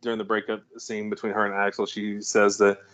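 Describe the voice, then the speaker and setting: A man's voice: lowish voice, monotone, brisk, fairly bright tone, dull audio. A man co-hosting a podcast, chatting casually about a film, rambling and restarting his sentences and chuckling at his own jokes. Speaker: podcast co-host.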